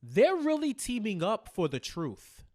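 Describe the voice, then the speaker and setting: A man co-hosting a casual recap chat, speaking in a halting way and repeating phrases as he thinks aloud. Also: sits high, wildly swooping pitch, darkish tone. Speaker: podcast co-host.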